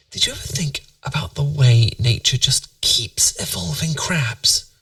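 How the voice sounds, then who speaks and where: A British man playing a tender, playful boyfriend in a bedtime ASMR roleplay, musing softly and intimately to soothe his partner to sleep. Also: medium pitch, no room sound, distant mic, heavily acted. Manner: soft, intimate, soothing, musing, occasionally near whisper.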